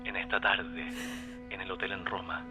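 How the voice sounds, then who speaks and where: A man's voice: low-pitched, fairly even intonation, moderate pace, dull audio, over loud music, close to an everyday voice. An actor playing a wistful, tender man recording a voice message in an audio drama monologue.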